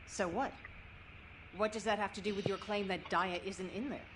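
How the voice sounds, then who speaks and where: A woman's voice: mid-range voice, steady tone, moderate pace, noisy background, close to an everyday voice. An actress playing a stubborn, grieving young woman with intense emotion in a video game drama. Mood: defiant.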